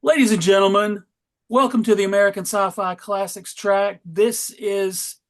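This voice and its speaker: A man's voice: high-pitched, steady tone, normal speed. Male host-narrator for a comic table read: theatrical storytelling, wry asides, tongue in cheek.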